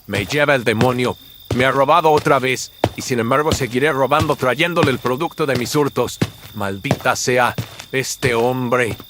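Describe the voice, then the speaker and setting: A man's voice: medium pitch, normal speed, music in the background, voice pushed up, natural voice. A man playing a servile, grumbling petty thief in a melodramatic horror radio drama, with a whining, deferential manner. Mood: bitter.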